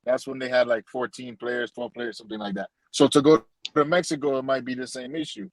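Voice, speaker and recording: Male guest, mid-pitched, blunt and matter-of-fact, dry sound. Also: fairly even intonation, a little fast, dry recording, rough recording. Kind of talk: casual podcast chat.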